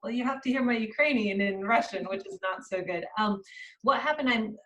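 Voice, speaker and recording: A woman from California talks about her book on a video call, chatty and full of small fillers and restarts. Her voice is middle-pitched and sits a little back from the microphone. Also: fairly quick, fairly bright tone, far from the mic, rough recording. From California, USA. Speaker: author and journalist. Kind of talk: book reading, then interview answers.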